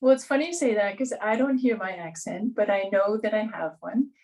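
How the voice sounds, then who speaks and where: A middle-aged woman from Ontario speaks with a Canadian accent that years in German-speaking Switzerland have flattened. She answers thoughtfully, with pauses and restarts. Her voice sounds set back from the mic. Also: medium pitch, far from the mic, poor audio. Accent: Canadian English flattened by years in German-speaking Switzerland. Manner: thoughtful, with frequent ums and restarts. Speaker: guest, scientist. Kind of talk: conversational interview answers.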